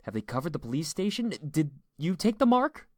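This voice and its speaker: A man's voice: mid-range voice, expressive, brisk, no room sound, clean studio sound, voice pushed up, natural voice. A man voicing a tender, protective father and husband in an audiobook story, earnest and anxious. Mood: panicked. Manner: earnest, emphatic.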